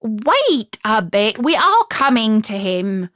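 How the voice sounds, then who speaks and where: A woman plays a friendly gentleman crow from a storybook, gossipy and courteous. The bird explains things slowly and carefully, with a pitch that rises and dips in lively sweeps. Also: dry recording, studio quality, heavily acted. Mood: patient, assured. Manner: measured, explanatory, gossipy telling.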